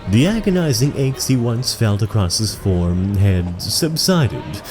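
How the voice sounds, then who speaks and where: Dramatic, deep-ish fantasy narrator. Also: male voice, sing-song, measured pace, music in the background. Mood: gentle. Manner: dramatic, literary. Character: solemn omniscient storyteller.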